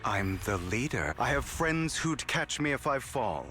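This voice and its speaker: An actor playing a suave, theatrical male con artist in a game cutscene, smooth and dramatic, dropping to a whisper at times. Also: medium pitch, sing-song, unhurried, bright, forceful tone, soft background music.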